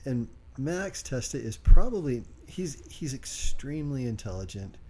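A man co-hosting a casual podcast, speaking quietly and hesitantly with lots of fillers. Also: mid-range voice, sing-song, unhurried, slight background noise. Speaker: podcast co-host.

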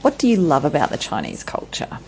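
A woman's voice: sits low, wildly swooping pitch, fairly quick, a little mellow, slight background noise. A woman hosting an interview, asking questions in a friendly, encouraging way. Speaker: podcast host. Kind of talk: interview chat.

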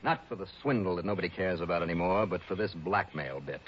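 An actor playing a tough, wisecracking investigator, slangy and punchy, in 1960s radio detective drama style. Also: male voice, low voice, fast talker, natural voice. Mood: confident, taunting. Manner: hard-boiled, slangy, punchy. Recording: muffled, hissy vintage radio recording.

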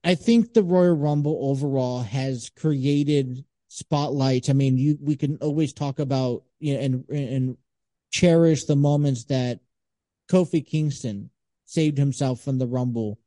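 A man's voice: mid-range voice, measured pace, warm tone, dry recording. A man speaking as a podcast guest, discussing sports casually in a conversational way with emphatic asides.

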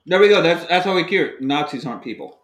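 A man's voice: on the high side, animated, fast, a little hiss or hum. Joking, swearing man in a roomy echo. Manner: joking, profane, rambling recaps. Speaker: podcast host. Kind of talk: casual movie-recap chat. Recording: roomy echoey room.

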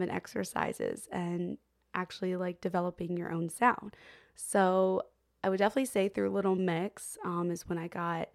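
A woman's voice: fairly low pitch, vocal fry, darkish tone. A young woman co-hosting a podcast, talking casually with lots of fillers and frequent laughs. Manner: chatty, many fillers like "um" and "like", laughs often. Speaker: podcast co-host. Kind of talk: casual chat.

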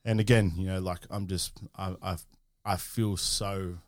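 A man hosts a relaxed interview, his voice sitting very low. He speaks in a halting, effusive way, stumbling over his words. The sound is dry and close to the mic. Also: dry recording. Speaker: host. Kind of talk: casual interview.

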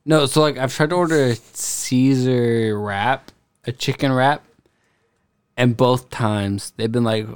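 A man's voice: mid-range voice, unhurried, clear, resonant tone, dry recording, studio quality. A drunk young man, a guest on a casual podcast, rambling and swearing a lot as he chats.

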